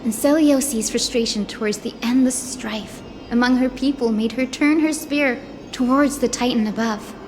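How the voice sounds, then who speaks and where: A woman as an earnest, high-voiced young sky-folk heroine. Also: normal speed, natural voice. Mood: solemn. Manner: solemn, poetic storytelling. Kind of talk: video game cutscene acting. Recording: background music under the voice.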